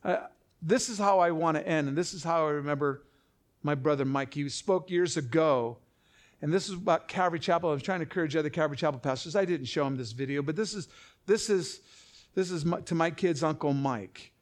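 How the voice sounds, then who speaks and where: A pastor telling a funny personal story in a sermon, animated and breathless as he acts out others. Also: on the high side, a little fast.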